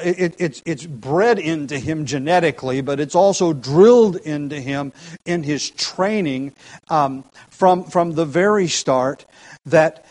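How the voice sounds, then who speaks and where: Male preacher, somewhat high-pitched, earnest teaching tone with emphatic rises. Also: a little mellow. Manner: earnest, with frequent restarts and rising emphasis. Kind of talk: expository sermon.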